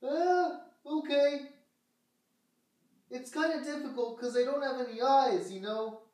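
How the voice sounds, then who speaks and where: A woman's voice: high voice, unhurried, a little mellow. A woman voicing Gilbert, a naive, eager young male shoe puppet who asks simple wide-eyed questions in a children's puppet show. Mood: childlike, hesitant. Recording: very echoey room, slightly distant.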